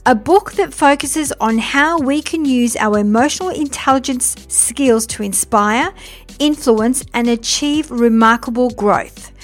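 An enthusiastic woman hosts a podcast, reading her introduction from a script and then asking eager questions. Her voice sits a little high, and faint music plays beneath her. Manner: upbeat, enthusiastic. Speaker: podcast host.

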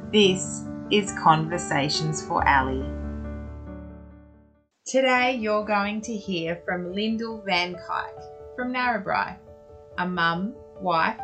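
Australian woman, podcast host, low voice, gentle interviewer, music behind. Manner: gentle, encouraging questions with short affirmations.

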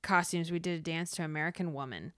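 A woman's voice: sits low, quick pace, a bit bright, no room sound, clean studio sound. A woman telling personal anecdotes in casual conversation as a podcast co-host, acting out the remarks she quotes.

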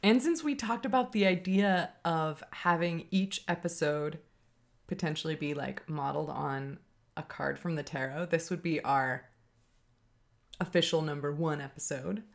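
A woman's voice: low voice, moderate pace, creaky. A woman co-hosting a podcast in casual chat, explaining things plainly with fillers and light laughs. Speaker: podcast co-host.

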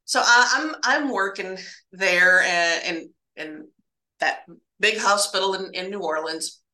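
A woman casually telling spooky stories from her hospital laboratory work, halting and repeating words as she goes and acting out bits of dialogue. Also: fairly low pitch, bright, forceful tone, roomy sound, low-quality recording.

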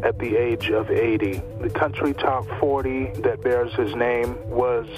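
A man's voice: medium pitch, fairly even intonation, unhurried, over music, poor audio. A man leaving a voicemail message as a listener.

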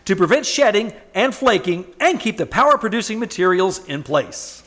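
An actor playing a polished old-time radio announcer with an emphatic, formal delivery. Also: male voice, sits high, big pitch swings, normal speed, fairly bright tone. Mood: upbeat salesmanship. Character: polished radio pitchman and news bulletin announcer.

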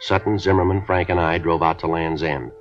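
A man playing a deadpan police detective narrating. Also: deep voice, brisk, faint music behind, lightly acted. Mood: flat report. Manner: flat, clipped, matter-of-fact delivery. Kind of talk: 1950s radio drama narration. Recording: dull 1950s radio transcription.

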